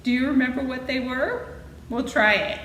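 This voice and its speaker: A woman reads a children's story with playful, dramatic expression. Her voice is fairly high and bright, moving up and down freely, and she chats to her listeners as she goes. The room has a clear echo. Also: normal speed. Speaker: library storytime reader. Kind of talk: animated children's story reading with asides to listeners. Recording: echoey room with faint noise.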